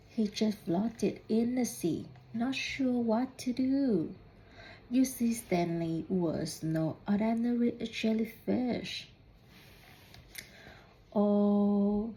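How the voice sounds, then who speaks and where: A woman reading a children's storybook aloud in deliberate, halting phrases, putting questions to young listeners. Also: medium pitch, dark tone, rough recording. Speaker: student reading a picture book aloud.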